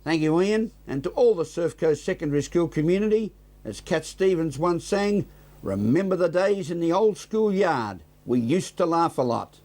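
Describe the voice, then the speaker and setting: A man's voice: a little high, lively intonation, moderate pace, mellow. A man presenting local television news from a script as the anchor.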